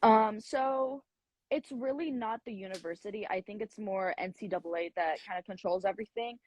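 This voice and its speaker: A woman's voice: medium pitch, moderate pace, a little mellow. A young woman around twenty, a college golfer from the United States, answering interview questions in a chatty way with frequent fillers.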